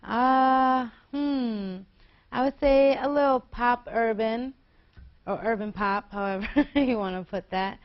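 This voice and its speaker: A woman's voice: fairly high pitch, steady tone, slow pace, muffled recording. A woman in her twenties, a singer being interviewed, chatting in a bubbly way and laughing often. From New York. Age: 20s. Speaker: guest singer. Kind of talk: casual interview.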